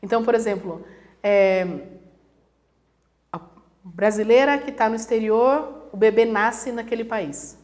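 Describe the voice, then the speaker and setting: A woman's voice: on the high side, unhurried, long pauses, darkish tone. A woman from Brazil, a guest professor, explaining things conversationally and acting out examples in quoted voices. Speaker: guest, international relations professor.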